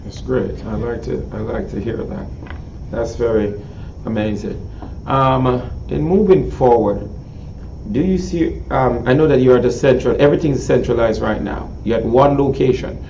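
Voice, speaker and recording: Man, Jamaican accent, middle-aged, show host, halting with ums, echoey, distant. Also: mid-range voice, a little mellow, slight background noise. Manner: halting, many ums and repeats. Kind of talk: casual interview. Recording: echoey distant lo-fi video call.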